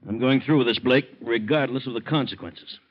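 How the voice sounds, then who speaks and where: An American actor playing a stern, determined district attorney in a 1940s radio drama, clipped and authoritative. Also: male voice, medium pitch, fairly quick, close to an everyday voice. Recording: muffled old radio broadcast.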